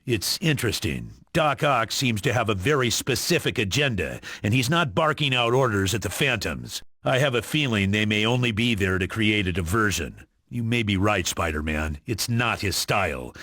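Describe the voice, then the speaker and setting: An actor playing a serious agency chief in a video game, briefing heroes with formal authority. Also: male voice, on the low side, animated, normal speed, bright, forceful tone, no echo, clean studio sound. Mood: businesslike. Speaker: mission commander.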